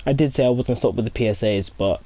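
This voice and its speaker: A man's voice: mid-range voice, quick pace, warm tone. A man giving casual, rambling commentary as he plays a game, wandering off into chatty asides and reading the game's dialogue aloud. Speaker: let's play host.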